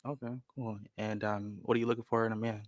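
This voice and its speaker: A man talking casually as a dating show contestant, explaining himself in a measured way. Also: on the low side, flat delivery, no echo, studio quality. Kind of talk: casual conversational interview.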